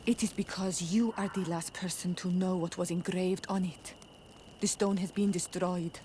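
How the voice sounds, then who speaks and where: A woman's voice: on the low side, fairly even intonation, normal speed, mellow, a little hiss or hum, lightly acted. A woman playing a proud, grieving native village leader in a video game, solemn and resolute. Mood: earnest. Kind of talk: video game cutscene acting.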